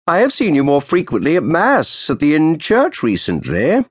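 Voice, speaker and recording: A man putting on a sharp-voiced, stern old man. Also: fairly high pitch, wildly swooping pitch, normal speed, breathy edge, no room sound, clean studio sound, pitched above the performer's own voice, full character voice. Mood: sardonic. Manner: stern, clipped, sardonic. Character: stern sardonic old man past sixty.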